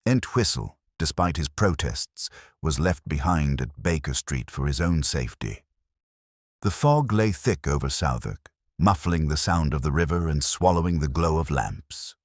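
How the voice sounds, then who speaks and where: A man reads scripted detective fiction in a very deep voice. His delivery is measured and solemn, yet rises and falls dramatically. The recording is close and dry. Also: expressive, no room sound. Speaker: audiobook narrator. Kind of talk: scripted first-person detective story narration.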